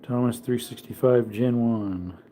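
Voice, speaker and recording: A man in his thirties chats loosely on a home livestream, wandering from one remark to the next as he reads out comments. His voice sits at an ordinary middle pitch. A faint hiss stays under the recording. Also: unhurried, warm tone. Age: 30s. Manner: rambling, chatty, reads out viewer comments; high baby-talk when calling his dog. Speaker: livestream host. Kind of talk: casual livestream chat.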